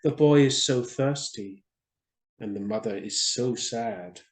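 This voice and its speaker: A man reading a children's storybook, playing a gentle, sorrowful prince who is a living statue, in a tender, pleading manner. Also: mid-range voice, slow, lightly acted. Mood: pleading, sad.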